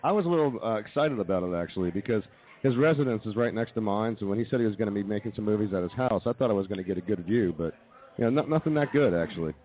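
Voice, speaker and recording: Guy hosting a comedy radio show, talks quick, tinny phone-quality audio. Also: fairly low pitch, animated. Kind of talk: casual comedy radio banter. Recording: thin, phone-like band-limited audio.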